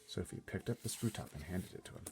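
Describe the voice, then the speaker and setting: A man reading a children's story aloud as an expressive narrator. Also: sits low, fast, slightly rough, in a whisper. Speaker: storybook reader.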